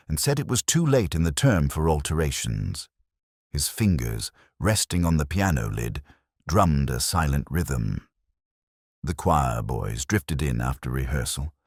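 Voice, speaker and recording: A man reads a scripted first-person detective story in a measured, literary way with deliberate pauses. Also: rumbling low, no room sound, clean studio sound. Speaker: narrator.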